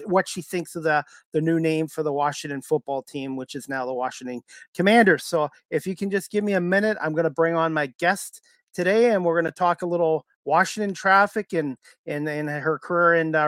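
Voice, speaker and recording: A man hosting a casual podcast, talking in a halting way with many fillers and restarts. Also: on the high side, fairly bright tone, dry recording, studio quality. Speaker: podcast host.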